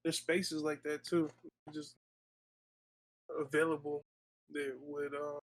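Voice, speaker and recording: A man's voice: fairly high pitch, monotone, slow pace, poor audio. A man in his late twenties, a podcast guest, speaking hesitantly with many ums and uhs in casual chat. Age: 20s.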